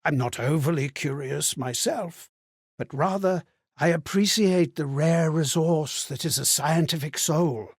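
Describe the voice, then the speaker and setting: Haunted ex-Templar ghost, video game, husky voice, widely swinging pitch, solemn archaic phrasing, wistful. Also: male voice, no echo, clean studio sound, full character voice. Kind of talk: dramatic video game character monologue.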